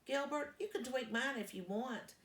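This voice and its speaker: A woman's voice: high-pitched, normal speed, fairly bright tone, pitched above the performer's own voice. Woman reading as an earnest young schoolboy, echoey room. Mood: apologetic.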